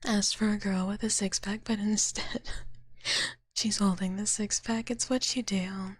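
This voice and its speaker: A young woman whispering softly to her viewers in a drowsy, teasing chat, tired and a bit out of it. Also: medium pitch, steady tone, measured pace, breathy edge, dry recording. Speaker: streamer.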